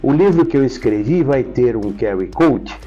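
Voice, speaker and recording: Older male, Brazilian accent, mellow, conversational storyteller with emphatic repeats; slightly dull sound, music underneath. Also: mid-range voice, lively intonation, normal speed. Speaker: interview guest.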